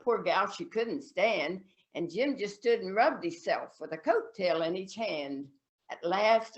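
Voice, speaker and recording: A woman voicing a mischievous country kid in a folksy, animated comic poem recitation. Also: mid-range voice, pitched above the performer's own voice, natural voice. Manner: animated folksy dialect recitation with dropped deadpan refrains. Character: mischievous gleeful country kid telling tall tale about sister's courting.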